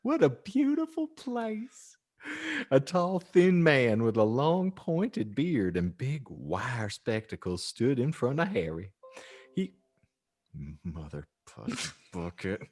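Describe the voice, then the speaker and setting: A male podcast host narrates fan fiction like a mock-earnest audiobook. He takes his time, swings his pitch around theatrically and slips into jokey casual asides. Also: very animated, slow pace, darkish tone. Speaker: podcast host and story reader.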